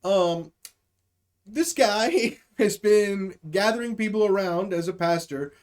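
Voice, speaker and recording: Casting: casual streamer comedian, mid-pitched, improvising slangy, profane stories and acting out the characters. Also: slow, darkish tone. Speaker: live stream comedy host. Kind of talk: casual improvised comedy storytelling to a live chat.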